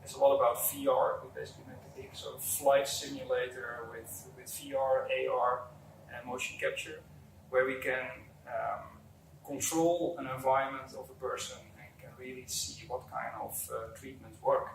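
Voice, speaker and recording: A man's voice: mid-range voice, fairly even intonation, moderate pace, gravelly. Dutch-accented man, roomy distant recording. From Netherlands. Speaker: company executive, interview guest. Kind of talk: unscripted interview answers. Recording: roomy, distant mic, faint background noise.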